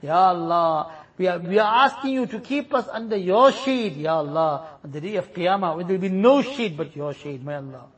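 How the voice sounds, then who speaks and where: Imam type, man, raspy and high, leading a pleading prayer with a lively, swooping delivery. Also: normal speed, hardly any pauses. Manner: pleading, each plea closed with a soft trailing refrain. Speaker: imam leading a congregation in supplication. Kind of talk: impassioned supplication prayer.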